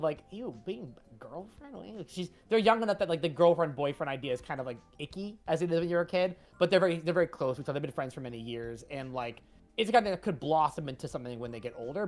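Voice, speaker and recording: A woman's voice: low-pitched, lively intonation, fast, darkish tone, faint music behind. A woman talking casually as a comic creator hosting a read-along stream.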